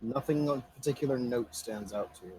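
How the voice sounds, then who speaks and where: A man's voice: medium pitch, fairly quick, warm tone, slight background noise, low-quality recording. A man narrating a tabletop game casually as game master.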